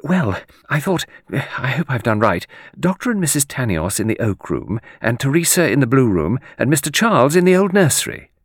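A man's voice: medium pitch, sing-song, moderate pace, few pauses, breathy edge, no echo, voice pushed up. Wanted: sentimental, easily flustered lady's companion, played by a man, hesitant and meek, very close dry audiobook sound. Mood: flustered, apologetic. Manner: hesitant, apologetic, murmuring. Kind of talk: audiobook character voice.

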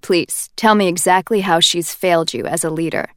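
Woman voicing a cold calm young guy, icy and clipped, dry sound. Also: fairly low pitch, normal speed, no echo, close to an everyday voice. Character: cold, composed young man, icy and cutting. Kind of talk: audiobook character voice.

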